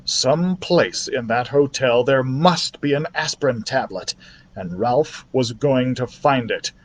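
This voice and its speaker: A man's voice: medium pitch, slight background noise. A man reading a children's novel aloud as a storytime narrator. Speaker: library storytime reader. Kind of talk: scripted children's book narration.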